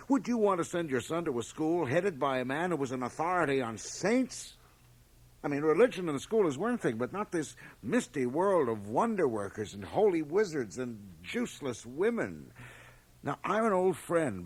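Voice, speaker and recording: An actor playing a blustering board chairman of about fifty in a radio drama, with an emphatic, sometimes halting delivery. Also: a little high, husky. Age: middle-aged. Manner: emphatic, hesitant then blustering. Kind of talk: 1980 radio drama acting. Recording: home cassette transfer of radio broadcast.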